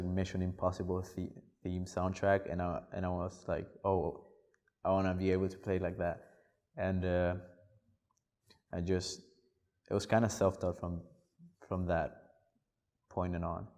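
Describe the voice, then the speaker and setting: A man's voice: low-pitched, warm tone. A nineteen-year-old man with a Honduran Spanish accent, telling his story casually in an interview. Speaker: interviewee, guitarist. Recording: echoey hall with long reverb.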